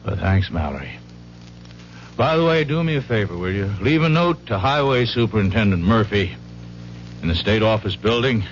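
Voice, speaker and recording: An actor playing a shrewd, plain-spoken small-town police chief who gives orders in a 1970s radio drama. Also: male voice, fairly low pitch, lively intonation, normal speed, natural voice. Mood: dry, composed. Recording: dull, very noisy old radio recording.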